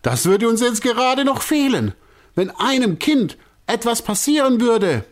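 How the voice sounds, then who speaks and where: A man voicing a panicky male circus director in a storybook, with a high, strained, lamenting voice. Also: big pitch swings, a little slow, exaggerated voice. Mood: flustered. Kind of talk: storybook character voice.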